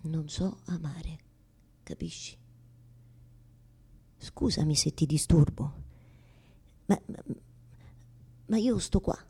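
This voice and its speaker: A woman's voice: deep voice, dark tone, husky, full character voice. Anguished, blunt woman confronting God. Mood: embarrassed, pleading. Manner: halting, repeating words and phrases insistently. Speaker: stage actress in a monologue. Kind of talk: theatrical radio monologue, confessional.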